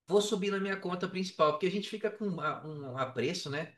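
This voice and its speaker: High-voiced Brazilian man, echoey room. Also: animated, a little fast, fairly bright tone, recorded from a distance. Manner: colloquial, slangy, re-enacts dialogue. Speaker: podcast guest, affiliate marketer. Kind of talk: animated casual storytelling. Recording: roomy, echoey, off the mic.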